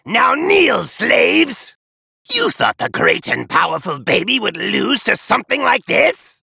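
A man voicing an arrogant, sadistic alien conqueror in an anime fighting game, raspy, grandiose and menacing, taunting and often shouting. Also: sits high, sing-song, slow pace, dry recording, studio quality, full character voice. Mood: gloating, taunting. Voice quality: raspy, gravelly. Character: arrogant, sadistic alien parasite conqueror, male, gloating villain. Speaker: video game villain. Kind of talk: theatrical anime game battle lines.

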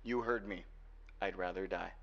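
A man acts out a guarded young cyberpunk hacker boyfriend in an ASMR roleplay. His voice sits low, and he speaks in earnest, halting phrases, protective of his partner. The recording is dull and lo-fi with faint noise. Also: fairly even intonation, vocal fry, a bit bright. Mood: earnest, tender. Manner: earnest, halting delivery with sighs.

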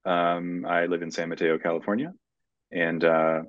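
A middle-aged man speaking in a halting, measured way as a screenwriter in a casual interview over a video call. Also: low-pitched, flat delivery, no echo. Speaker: screenwriter guest. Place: room at home, indoors. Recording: dull, muffled video call audio.

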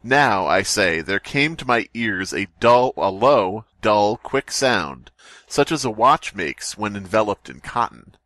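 A man speaks in a lively, swinging voice, recorded close and dry. He reads aloud for comedy as a podcast host and breaks in with sarcastic, profane commentary. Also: on the low side, slow pace, dry recording.

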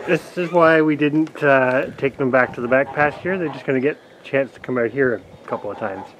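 A man filming on his farm pasture, chatting casually in a relaxed, amused way and chuckling at his animals. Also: mid-range voice, brisk. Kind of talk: casual chat while filming. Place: outdoors on a farm pasture and barn. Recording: noisy outdoor camera audio. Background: farm animals and outdoor noise.

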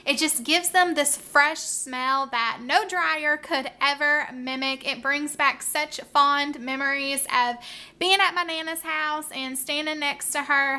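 Bright high-voiced woman narrating a vlog. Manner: warm, chatty, addresses viewers as friends. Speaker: cleaning vlogger. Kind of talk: voice-over narration.

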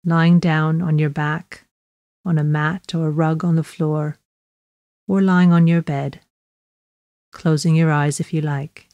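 Woman, meditation guide, deep relaxed voice, slow measured phrasing, long pauses, gentle instruction. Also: dry recording, dull audio. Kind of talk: guided body scan meditation narration.

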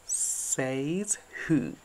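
A woman voicing a sly, hungry wolf villain in a children's story, sneering and sniggering with drawn-out menace. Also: a bass voice, animated, a little mellow, heavily acted. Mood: gloating menace.

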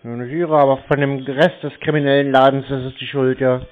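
A man talking casually on the phone, giving curt, stubborn, deadpan replies. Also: medium pitch, a little fast, faint music behind. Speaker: prank call recipient baiting scam callers. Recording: muffled, band-limited phone call audio.

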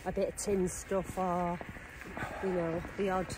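A woman's voice: on the low side, flat delivery, measured pace. A middle-aged woman chatting bluntly and teasingly on a cold country walk, recorded on a phone at arm's length. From England. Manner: blunt, teasing, laughs often. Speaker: vlogger. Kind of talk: casual chat while walking. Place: outdoors on a country lane, cold morning. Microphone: phone held at arm's length. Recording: outdoor background noise.

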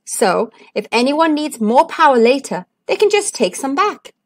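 Storybook sunbeam, woman performing: high, lively, friendly, eager explainer. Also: normal speed. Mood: cheerful, eager. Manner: bright, emphatic explaining to a child. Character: cheerful talking male sunbeam, keen friendly explainer. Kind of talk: children's storybook read-aloud.

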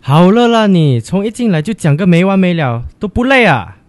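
A man's voice: high voice, sing-song, normal speed, no echo. A man playing a stressed, impatient young student who is curt with his girlfriend in a radio drama. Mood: irritated.

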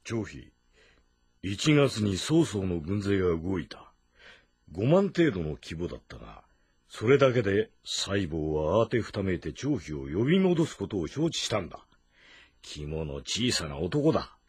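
Casting: stern, deliberate warrior general for a historical game drama; low, clean and lively in inflection. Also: male voice, husky, no echo, studio quality, heavily acted. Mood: grave. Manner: deliberate, stern, martial, old-fashioned diction.

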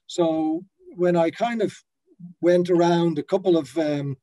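Mellow-voiced Dutch-raised man in his fifties. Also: on the high side, a little slow, poor audio. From Netherlands. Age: 50s. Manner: hesitant, many fillers and restarts. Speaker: brewery founder, guest. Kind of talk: video call interview. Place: office with a brand banner behind. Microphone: laptop webcam on a video call.

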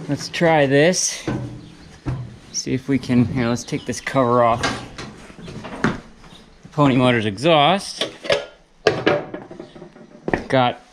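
A man in his thirties talks his way through a repair job in an open metal barn, half muttering to himself, half to the camera. His voice sits at an ordinary middle pitch. A faint hiss of noise runs underneath. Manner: muttering, self-narrating while working. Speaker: restoration vlogger. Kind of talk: casual hands-on narration.

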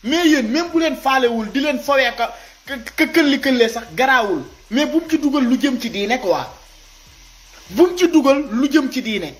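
A man in his thirties with a Senegalese accent giving impassioned, informal video commentary, animated and repeating his points as he exhorts listeners. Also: very high voice, moderate pace. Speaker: social media commentator. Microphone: phone at arm's length.

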